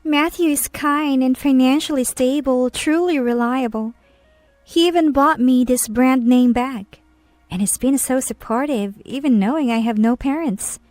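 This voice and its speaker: A woman's voice: sits high, a little slow, darkish tone, no echo, faint music behind, voice pushed up. A woman voicing a naive, pushy young mistress in a narrated story, pleading and insistent. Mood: smug, pushy.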